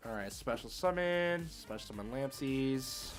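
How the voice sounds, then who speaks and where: A man's voice: mid-range voice, animated, unhurried, faint music behind. A man hosting a casual game livestream, thinking aloud in an easygoing, somewhat hesitant way as he plays. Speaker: livestream host and card game player. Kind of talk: casual live game stream commentary.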